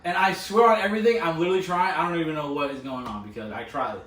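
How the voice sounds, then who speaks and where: A man hosting a casual podcast with friends, talking loudly and defensively, his voice jumping up when he protests. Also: on the high side, racing. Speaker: podcast host. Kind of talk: casual group podcast banter. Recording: roomy sound from a distant mic.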